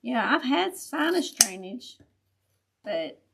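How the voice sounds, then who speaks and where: A woman with a raspy, gravelly voice and a slightly raised pitch, chatting freely as she works through a live craft tutorial. She talks straight to her viewers in an easy, rambling way and laughs often. Her voice has a faint hiss of background noise behind it. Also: sing-song, takes it slowly. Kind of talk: casual live craft chat.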